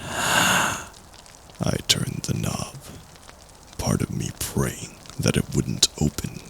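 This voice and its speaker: A man telling a campfire horror story in a hushed, suspenseful manner with long dramatic pauses. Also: a bass voice, creaky, rough recording. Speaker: storyteller in an audio roleplay. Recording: faint background noise.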